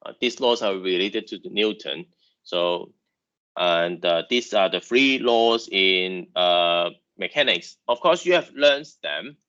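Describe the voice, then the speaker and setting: Halting lecturer, bright lo-fi sound. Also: male voice, low-pitched, lively intonation. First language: Chinese. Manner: halting, repeats words, many fillers. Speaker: university engineering lecturer. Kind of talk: online lecture.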